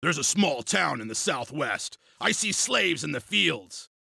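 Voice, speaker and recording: Raspy, excitable male wolf rebel. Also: on the high side, measured pace, no room sound, clean studio sound, heavily acted. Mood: excited, alert. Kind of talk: dramatic video game voice acting.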